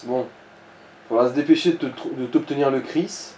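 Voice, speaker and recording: A man with a relaxed, dark-toned voice of medium pitch. He explains game tactics casually and slangily. The room rings noticeably around him. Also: moderate pace. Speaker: gaming co-commentator guiding the player. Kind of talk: casual let's play commentary. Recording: roomy echo, background noise.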